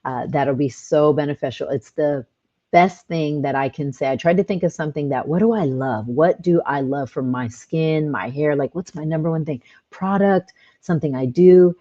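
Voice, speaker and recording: A woman in her fifties, chatting as a guest in a relaxed interview. Her voice sits low, and she talks in a bright, upbeat way with plenty of laughter. The recording sounds a little dull and muffled. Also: normal speed. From California. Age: 50s. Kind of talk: casual interview.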